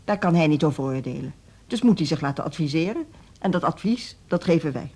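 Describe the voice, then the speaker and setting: Mellow, fervent music teacher Gerda. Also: female voice, deep voice, animated, fairly quick, slightly rough. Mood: earnest, insistent. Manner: fierce, indignant, insistent. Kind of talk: radio drama dialogue.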